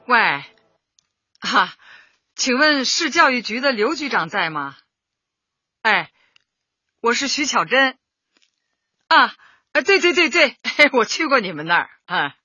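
A woman's voice: on the high side, big pitch swings, slow pace, pauses often, pushed and bright, slightly rough, no room sound, highs cut off, heavily acted. An actress playing a kindly, busy old woman community leader in a radio drama, urgent and reassuring as she repeats her words. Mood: polite, purposeful. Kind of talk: Chinese radio drama acting.

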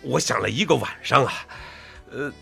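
A man's voice: medium pitch, moderate pace, gravelly, sharp tone, faint music behind. An actor playing a wily, self-effacing old male farmer, hesitant and folksy, in a Chinese radio drama. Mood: sheepish. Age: older.

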